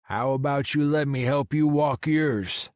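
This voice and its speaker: A man's voice: mid-range voice, measured pace, no room sound, studio quality, voice pushed up, lightly acted. A man voicing a supportive, easygoing male friend who coaxes and encourages, in audiobook dialogue. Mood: encouraging, insistent. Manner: coaxing, encouraging, questioning.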